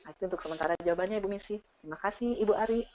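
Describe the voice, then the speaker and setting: A woman giving casual, animated explanations as she answers audience questions on an online call. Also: mid-range voice, very fast, background noise. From Indonesia. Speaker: teacher and speaker sharing her experience. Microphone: online video call. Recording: dull, lo-fi online meeting audio.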